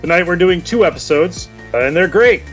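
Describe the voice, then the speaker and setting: A man's voice: high-pitched, animated, brisk, poor audio. A man hosting a podcast, giving a casual, informal introduction. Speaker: podcast host. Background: music.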